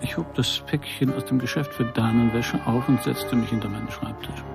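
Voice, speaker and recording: A man's voice: medium pitch, fairly even intonation, moderate pace, vocal fry, music in the background. An actor playing a middle-aged private detective in a noir radio play, narrating his case in a dry, laconic, sardonic way. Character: hard-boiled male private detective narrating his case, wry and probing.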